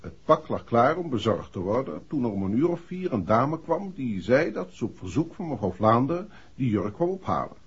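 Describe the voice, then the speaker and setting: An actor playing a matter-of-fact, precise police inspector in a 1960s Dutch radio play. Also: male voice, mid-range voice, sing-song, moderate pace, hardly any pauses, breathy edge, exaggerated voice. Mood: businesslike. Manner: businesslike, precise. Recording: dull, muffled vintage radio recording.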